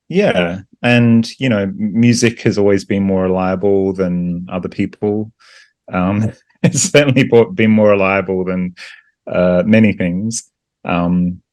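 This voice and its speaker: A man with a mild Australian accent talks as a guest, reflective and hesitant, circling his thoughts with fillers. His voice is very low, and the recording is dry and close. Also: no echo. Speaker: guest musician. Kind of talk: casual interview chat.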